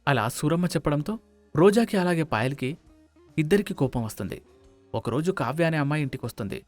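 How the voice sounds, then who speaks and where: A man's voice: on the high side, expressive, a little fast, darkish tone, soft background music. A man narrating a scripted moral story.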